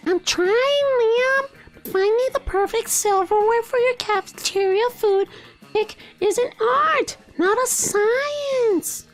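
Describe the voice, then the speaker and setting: A man's voice: very high voice, faint music behind, voice pushed up. A man voicing a haughty, sweetly ruthless young mermaid princess from a video game, prim and grandiose. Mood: fussy. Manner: prim, grandiose, drawn-out delivery.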